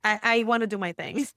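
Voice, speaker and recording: A woman's voice: medium pitch, dry recording. Chatty Puerto Rican woman, dry close mic. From Puerto Rico. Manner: chatty, animated storytelling with many fillers. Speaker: guest, entrepreneur. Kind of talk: casual interview chat.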